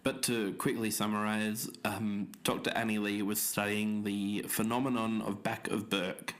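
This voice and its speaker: A man's voice: fairly low pitch, little pitch movement, unhurried, a bit bright. A man playing a podcast investigator and believer, earnest and slightly hesitant as he discusses and reads out notes. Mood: earnest, insistent. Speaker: podcast co-host. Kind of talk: podcast discussion and journal reading.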